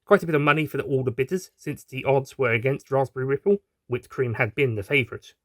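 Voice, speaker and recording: A man's voice: mid-range voice, fast, no echo, clean studio sound. A man reading a scripted audio story as its narrator. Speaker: writer and narrator.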